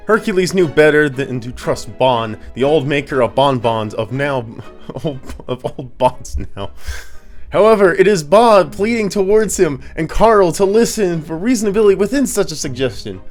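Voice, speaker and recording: A man with a slightly congested voice giving a theatrical reading of his own comic prose, prone to breaking up. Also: a little high. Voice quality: congested sinuses. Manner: theatrical, often breaking into stifled laughter. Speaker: author reading own writing. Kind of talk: dramatic reading of comedic prose.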